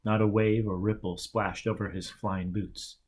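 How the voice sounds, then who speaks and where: A man's voice: on the low side, little pitch movement, measured pace, a little mellow. Man reading a story aloud. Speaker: audiobook reader. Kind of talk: storybook narration with acted dialogue.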